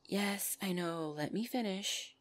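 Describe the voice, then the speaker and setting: A woman's voice: mid-range voice, slow pace, close to an everyday voice. A woman playing a loving, bashful and protective orc girlfriend in an intimate ASMR roleplay, speaking tenderly and hesitantly. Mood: nervous, tender. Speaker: voice actor in audio roleplay.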